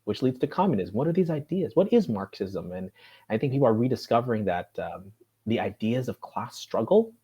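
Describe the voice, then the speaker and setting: Low husky american guy on a podcast, explains politics steady, asks questions back. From United States. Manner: steady, lecturing, rhetorical questions. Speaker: podcast guest. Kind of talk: earnest political discussion.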